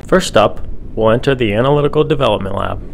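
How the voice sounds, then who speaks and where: A man in his thirties, a business development director, presenting a scripted laboratory tour in a steady, formal manner and reading technical terms evenly. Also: mid-range voice, quick pace, vocal fry, slight background noise.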